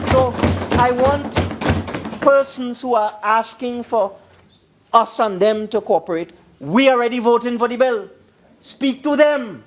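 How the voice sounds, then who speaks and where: A man's voice: extremely high pitch, unhurried, slight background noise. A man with a Trinidadian accent giving an emphatic parliamentary speech, repeating phrases for stress. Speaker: government minister speaking in parliament.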